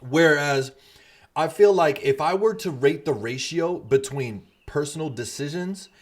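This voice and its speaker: A man's voice: on the high side, expressive, a little slow. A man co-hosting a podcast, talking bluntly and emphatically in a casual debate, swearing freely. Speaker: podcast co-host.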